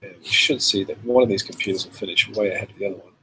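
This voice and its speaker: A man with a cold and a slightly rough voice, hosting a casual live demo and answering viewer questions in a relaxed, chatty way. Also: on the low side, very fast, a little hiss or hum, rough recording. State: has a cold, voice a bit rough. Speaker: software developer hosting a live stream.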